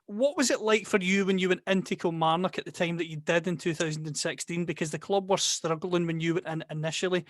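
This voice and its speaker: High-voiced Scottish interviewer. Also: male voice, fairly even intonation, quick pace, few pauses, no room sound, clean studio sound. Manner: chatty, builds long questions. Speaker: interviewer, host. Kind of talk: interview questions.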